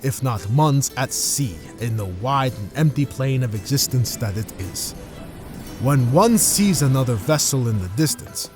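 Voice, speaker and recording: Guy acting as a haunted sailor telling a grave sea story, formal and measured, voice really rises and falls, music behind. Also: medium pitch, darkish tone. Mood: grave, uneasy. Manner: measured, solemn, formal phrasing. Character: haunted sailor, first mate, recounting a sea tale. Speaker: narrating character in an audio drama. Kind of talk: dramatic scripted first-person storytelling.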